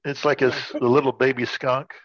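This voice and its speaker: A man of medium pitch plays along in a word game with casual comic banter. He tries words out and riffs on them, in a dry, slightly muffled recording. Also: level tone, brisk, fairly bright tone, no echo. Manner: playfully riffing on words, repeating them.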